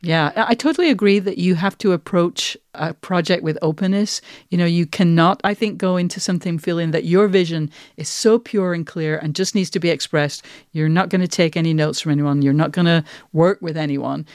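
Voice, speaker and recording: A woman's voice: on the low side, fairly quick, hardly any pauses, a little mellow, no echo, clean studio sound. A woman hosting a podcast, giving thoughtful conversational commentary in articulate, considered phrases. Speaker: podcast host and writer.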